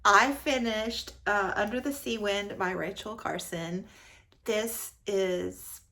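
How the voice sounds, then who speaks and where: A woman's voice: mid-range voice, takes it slowly, vocal fry, bright, forceful tone. A woman giving a casual, effusive book review as a vlogger, often repeating words for emphasis.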